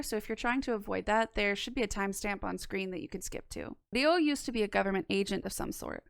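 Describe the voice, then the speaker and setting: Young female video essayist, smooth with noticeable vocal fry, measured analysis and dry casual asides. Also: on the high side, no echo. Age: young adult. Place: small home studio room. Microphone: close microphone on boom arm.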